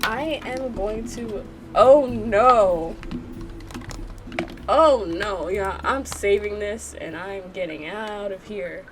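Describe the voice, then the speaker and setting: A woman's voice: mid-range voice, slow pace. A woman giving casual gameplay reaction commentary as a let's play host. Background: background music.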